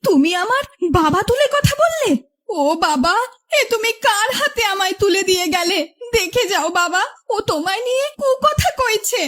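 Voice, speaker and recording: A woman's voice: very high-pitched, lively intonation, normal speed, a bit bright. A woman playing a melodramatic cartoon wife who complains and taunts in a nagging manner. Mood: wailing, offended. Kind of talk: Bengali cartoon voice acting.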